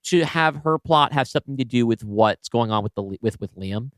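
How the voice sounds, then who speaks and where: A man's voice: mid-range voice, expressive, moderate pace, dry recording, clean studio sound. A man co-hosting a podcast, casually talking through story structure in a considered, analytical way. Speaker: podcast co-host and writer. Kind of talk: casual creative brainstorming talk.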